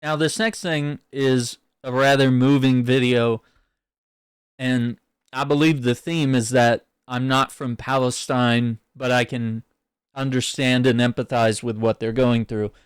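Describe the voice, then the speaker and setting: A man hosting casual political commentary, reacting to clips in a halting way and often repeating his words. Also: mid-range voice, steady tone, smooth, dry recording, clean studio sound.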